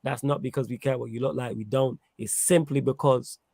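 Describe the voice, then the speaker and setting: A man hosts a live football fan show. He talks casually with plenty of slang and banter. His voice is mid-pitched and recorded dry and close. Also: steady tone, brisk, gravelly, a little mellow, no room sound. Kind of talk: casual live sports chat.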